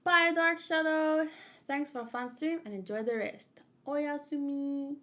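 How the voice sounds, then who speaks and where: A woman streamer with a fairly high voice talks casually to her viewers. She is playful, excitable and expressive, though her overall pace is very slow and leisurely. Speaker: streamer hosting a manga read-along. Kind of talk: casual livestream chat mixed with animated read-aloud.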